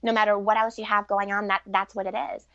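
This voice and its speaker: A woman's voice: mid-range voice, flat delivery, quick pace, fairly bright tone, no echo, faint music behind. A middle-aged woman talking as an interview guest in an earnest, reflective and informal conversational way. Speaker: interview guest, relationship author and coach. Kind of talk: conversational podcast interview answers. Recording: dull, lo-fi sound.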